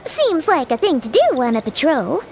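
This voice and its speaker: Super high squeaky little fairy sidekick, bubbly and dramatic, bouncy voice, game music under it. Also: female voice, wildly swooping pitch, moderate pace, slight background noise, heavily acted. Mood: chirpy. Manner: talks about herself in third person, bubbly and dramatic. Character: tiny floating fairy companion, chirpy, excitable, self-centered. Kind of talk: video game cutscene dialogue. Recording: game soundtrack music behind.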